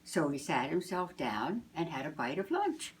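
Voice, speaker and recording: An older woman, a grandmother, reading a children's storybook aloud in a deliberate, expressive way. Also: sits low, a little hiss or hum.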